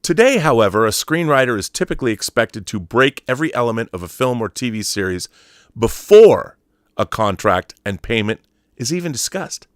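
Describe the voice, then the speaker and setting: A man's voice: mid-range voice, big pitch swings, normal speed, no echo, clean studio sound. A man hosting an online show, reading an article aloud in an emphatic storytelling way and adding explanatory asides.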